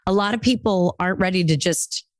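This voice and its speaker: A woman's voice: lowish voice, a little fast, no room sound, studio quality. A woman in her late forties hosting a coaching call, with an upbeat, encouraging manner and playful asides. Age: 40s. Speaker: podcast host and speaking coach.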